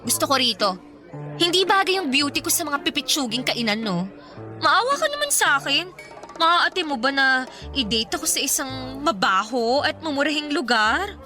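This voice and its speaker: Bright-voiced, vain Filipino girlfriend. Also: sits high, sing-song, normal speed, heavily acted. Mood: vain, haughty. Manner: coy, whiny wheedling. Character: vain, demanding young girlfriend, pouty and materialistic. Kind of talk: Filipino radio drama acting. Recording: music behind the voice.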